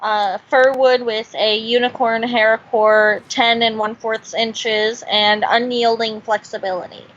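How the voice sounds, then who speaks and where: A woman's voice: on the high side, fairly even intonation, measured pace, few pauses, fairly bright tone. A woman chatting casually as co-host of a fan video, hesitant and often correcting herself. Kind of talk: casual chat. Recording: dull lo-fi sound, music behind.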